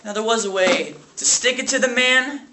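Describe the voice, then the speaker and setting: A man's voice: extremely high pitch, normal speed, pitched above the performer's own voice. A man performing a theatrical monologue as an angry, defeated substitute teacher, sarcastic and explosive, ranting at children. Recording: echoey room with faint noise.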